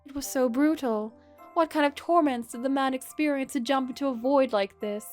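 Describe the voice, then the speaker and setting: A woman's voice: sits high, moderate pace, darkish tone, faint music behind, voice pushed up, lightly acted. A woman voicing a compassionate, mournful female hospital founder in a game story, speaking earnestly.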